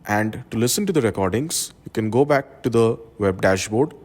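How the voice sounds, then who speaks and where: A man presenting an instructional software tutorial, explaining things step by step. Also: on the low side, lively intonation, moderate pace.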